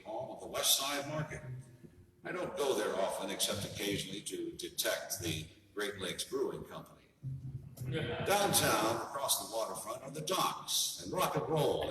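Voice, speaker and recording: A man playing a wry private detective, narrating his city in deadpan hard-boiled style for a radio play parody. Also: mid-range voice, natural voice. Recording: noisy echoey hall from far off.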